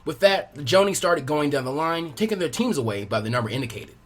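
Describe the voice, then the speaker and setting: A man narrates a story at a brisk clip, in a fairly high, animated voice. His tone is somewhat husky, and music plays behind the reading. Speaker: fan fiction reader.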